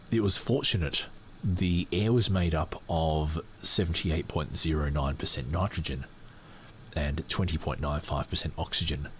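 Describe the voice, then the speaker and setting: A man hosting a podcast, reading a book aloud and breaking off to comment, animated, laughing often, with lots of fillers and restarts. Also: rumbling low, a little hiss or hum. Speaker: podcast host.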